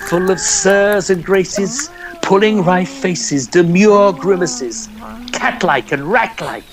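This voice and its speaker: A man narrating a poem in a theatrical, measured storytelling style. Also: on the high side, noisy background. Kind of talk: dramatic poetry reading, storytelling.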